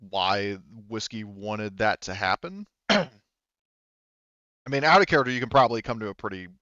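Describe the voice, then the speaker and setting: A man improvising as game master of a tabletop roleplaying game, halting as he searches for words and swearing casually. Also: on the low side, sharp tone, no room sound, highs cut off. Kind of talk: improvised narration of a tabletop roleplaying game, relaying characters' replies.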